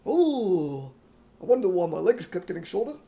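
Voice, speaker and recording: A man voicing a dopey, slow-witted, cheerful simpleton with a British accent, goofy and oblivious, in a radio comedy. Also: high voice, sing-song, gravelly, dark tone, voice pushed down, exaggerated voice. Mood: dim, puzzled. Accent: imitated British. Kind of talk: 1950s radio comedy acting.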